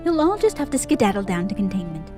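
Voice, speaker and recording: A woman's voice: medium pitch, big pitch swings, quick pace, music in the background, natural voice. A woman playing a condescending director of an otherworldly bureaucracy in an audio drama, sweet and falsely cheerful with menace beneath. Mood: breezily commanding. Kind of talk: scripted audio drama acting.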